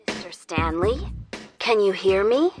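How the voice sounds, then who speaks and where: A woman playing a composed, quietly menacing captor in an audio drama, speaking in a measured, coolly polite way. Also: medium pitch, lively intonation, over loud music, natural voice. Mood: calling, coaxing. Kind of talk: audio fiction acting.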